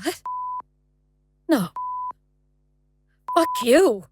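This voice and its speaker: An actress playing an anguished young woman in an audio drama, emotional and halting in her delivery. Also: high voice, big pitch swings, background noise, exaggerated voice. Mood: bewildered, defiant.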